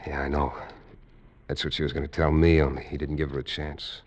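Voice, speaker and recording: A man's voice: very deep voice, level tone, brisk. An actor playing a shrewd, sardonic lawman in 1940s radio drama, with a controlled and knowing manner. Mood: somber.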